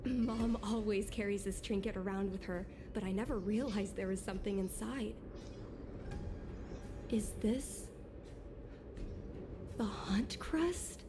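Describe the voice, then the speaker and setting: A woman's voice: medium pitch, slow, long pauses, background noise, lightly acted. A woman voicing an earnest, polite young would-be pilot with a hesitant manner in a video game. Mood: curious.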